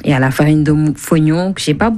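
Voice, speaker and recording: A woman's voice: low-pitched, a little fast, a little mellow. A woman from Senegal explaining things conversationally as a guest in a radio interview, rephrasing as she goes. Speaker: guest, cookbook author and food blogger.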